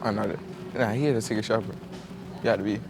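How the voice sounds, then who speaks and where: A man's voice: fairly low pitch, steady tone, quick pace, vocal fry. A young adult American man bantering casually with friends in a slangy, joking way, as a prank vlogger. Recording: noisy location sound.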